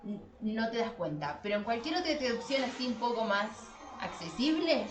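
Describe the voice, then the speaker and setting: A woman's voice: fairly high pitch, sing-song, moderate pace, background noise. A woman with an Argentine accent co-hosting a podcast, discussing literature casually in a colloquial, irreverent way. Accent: Argentine Spanish. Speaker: podcast co-host. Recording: roomy, echoing sound.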